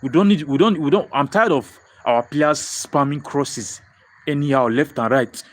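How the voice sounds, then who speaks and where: A man's voice: on the high side, moderate pace. A young Nigerian man with a Nigerian accent hosting a live football fan stream, answering viewer comments and repeating his points with many restarts. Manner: excitable, repeats phrases, frequent restarts. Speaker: football livestream host.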